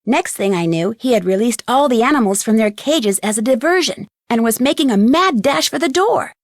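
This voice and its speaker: A woman's voice: on the high side, animated, moderate pace, no room sound, studio quality. A woman with an American accent playing a witty teenage girl detective, telling how a case ended in wry, conversational game narration. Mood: wry, amused. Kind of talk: scripted game epilogue narration.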